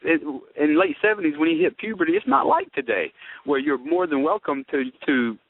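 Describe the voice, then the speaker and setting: A man's voice: a little high, expressive, normal speed, slight background noise. A man speaking as an astrologer in a conversational debate, with an emphatic, wordy manner and formal vocabulary. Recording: tinny, band-limited radio or phone-line audio.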